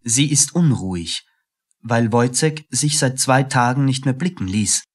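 A man's voice: medium pitch, lively intonation, unhurried. A man reading scripted narration as the narrator of a radio play.

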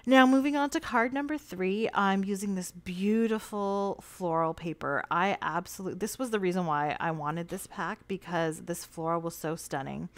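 Low-voiced woman narrating a craft tutorial. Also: no room sound. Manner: relaxed, chatty explaining with fillers. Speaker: cardmaking tutorial host.

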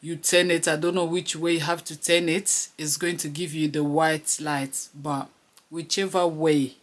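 Woman from congo talking to her camera at home, chatty, repeats herself, laughs a lot. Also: low-pitched. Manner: chatty, repeats phrases, laughs between lines. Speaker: vlogger. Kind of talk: casual vlog chat to camera. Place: small room at home, indoors. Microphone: camera close to the face.

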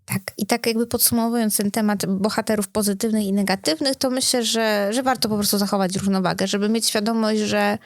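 A woman co-hosting a casual podcast, telling things in an animated way and asking rhetorical questions. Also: medium pitch, brisk, hardly any pauses, no room sound, studio quality. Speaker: podcast co-host. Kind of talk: casual chat.

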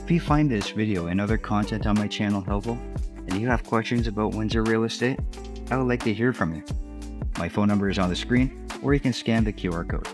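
A man with a fairly low voice reads a prepared script as a video host. His delivery is upbeat and promotional, like a presenter pitching to viewers. Music plays clearly behind him. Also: a little fast. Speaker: real estate agent and video host. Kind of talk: scripted narration. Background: background music.

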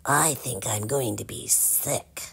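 A woman voicing a bratty, haughty young princess girl in a storybook reading, shrill and indignant. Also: slow pace, vocal fry, a bit bright, slight background noise, heavily acted.

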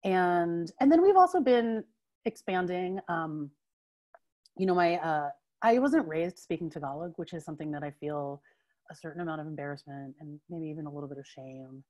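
A woman's voice: sits low, lively intonation, moderate pace, darkish tone. Chatty woman on earbud mic. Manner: chatty, laughs often, many fillers and restarts. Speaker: interview guest, writer. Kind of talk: casual video call conversation. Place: home room with bookshelves. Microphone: wired earbuds on a video call.

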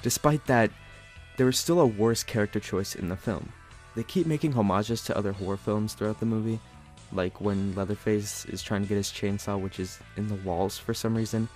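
A man with a fairly low voice delivers a scripted film review. He sounds sarcastic and fed up, and soft music plays underneath. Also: fairly even intonation, moderate pace. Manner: sarcastic, exasperated critique. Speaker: movie reviewer.

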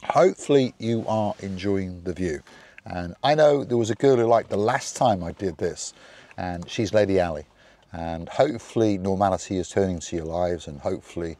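A middle-aged man hosting a vlog in casual chat, giving cheerful shout-outs and calling viewers by playful mock noble titles. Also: deep voice. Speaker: vlog host.

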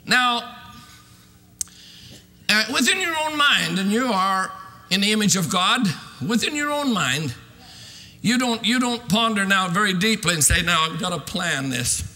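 A man in his sixties preaching a fervent sermon in an emphatic, declamatory way with drawn-out stressed phrases. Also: high-pitched, animated, sharp tone. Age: 60s. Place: large church sanctuary, at a pulpit. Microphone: podium microphone. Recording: reverberant hall sound.

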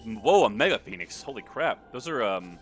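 A man's voice: on the low side, very animated, normal speed, soft background music. A man hosting a gaming video with casual, joking, sweary commentary and an irreverent streak. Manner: irreverent, sweary, riffing on the characters.

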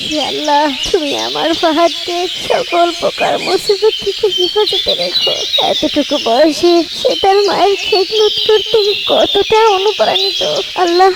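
A woman's voice: very high voice, a little slow, vocal fry, few pauses, very noisy, heavily acted. A woman playing an ailing, loving mother in a moral cartoon, speaking with tender concern and devotion. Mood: tender, prayerful. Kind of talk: Islamic moral cartoon dialogue.

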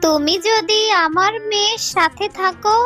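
A hopeful cartoon mother fox acted by a woman with a high, bright voice. She pleads earnestly over faint music. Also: measured pace, full character voice. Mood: hopeful, pleading. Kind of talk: Bengali children's cartoon dialogue.

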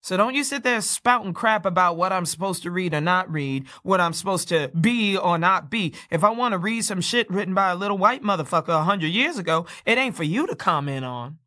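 A woman's voice: fairly low pitch, lively intonation, fairly quick, hardly any pauses, bright, forceful tone. A woman playing a brash, foul-mouthed, tough American character in an audio play, with salty, combative talk. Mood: indignant. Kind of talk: staged audio play dialogue.

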